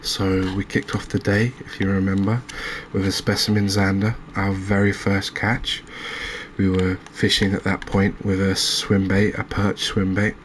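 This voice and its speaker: A man with a deep voice and a flat, level delivery talks through a game in a cozy ASMR style. He is soft-spoken and unhurried, thinking out loud as he goes. A faint wash of background noise sits behind him. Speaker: game streamer, host. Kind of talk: relaxed ASMR game commentary.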